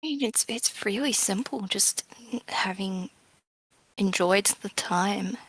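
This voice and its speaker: A woman's voice: medium pitch, takes it slowly, fairly bright tone, breathy edge, no echo, low-quality recording. A woman giving casual, informal interview answers as a guest game developer, in a self-effacing way.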